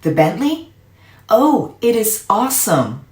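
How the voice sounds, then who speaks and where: Storybook kid voiced by a woman: young boy, animated, excitable, slightly sheepish, raised pitch, roomy echo. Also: slow. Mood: excited. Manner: animated, exclaiming. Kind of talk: storybook character voice. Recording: echoey room.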